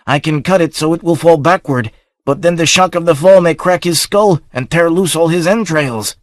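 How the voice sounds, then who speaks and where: A man's voice: fairly high pitch, normal speed, no echo, clean studio sound, pitched above the performer's own voice, natural voice. A man voicing a cautious desert nomad who raises objections in a raised voice. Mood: worried.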